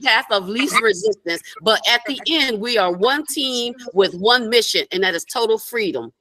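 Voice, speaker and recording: Rallying woman, crisp dry voice. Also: fairly high pitch, expressive, normal speed, bright, forceful tone, no room sound, poor audio. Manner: earnest, rallying. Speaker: webinar participant. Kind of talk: motivational group talk.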